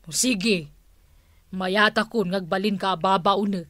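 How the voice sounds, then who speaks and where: A woman's voice: medium pitch, fairly even intonation, a little slow, fairly bright tone, dry recording, clean studio sound, natural voice. A woman playing a bossy older household matriarch in a comedy radio drama, speaking with exclamatory authority.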